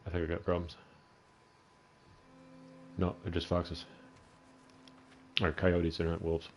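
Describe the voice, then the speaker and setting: A man's voice: deep voice, completely flat delivery, takes it slowly, slight background noise. A middle-aged man with a Canadian accent, rambling and swearing through casual commentary while playing a video game. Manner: rambling and profane. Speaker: gaming video host.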